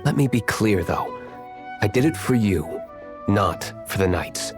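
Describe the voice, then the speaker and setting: An actor playing an aloof, brooding young wine merchant in a video game, cool, reserved and dry. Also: male voice, lowish voice, expressive, measured pace. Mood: guarded. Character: aloof, brooding young wealthy winery master. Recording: music behind the voice.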